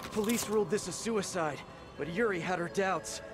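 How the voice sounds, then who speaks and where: A man's voice: high-pitched, moderate pace, close to an everyday voice. An actor playing an earnest young male superhero who often talks to himself with concern in a video game cutscene. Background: game score music.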